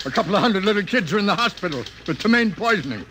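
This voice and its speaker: A contemptuous city official in a vintage radio play. His high, forceful voice barks with angry scorn, with faint noise on the recording. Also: animated, racing. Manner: barking, scornful. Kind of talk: old-time radio drama acting.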